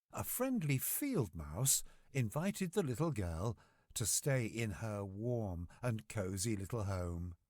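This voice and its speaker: A man tells a bedtime story for children in a low voice that rises and falls expressively. He takes his time with deliberate phrasing, reading from a script at a slow pace. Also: darkish tone, no echo, studio quality.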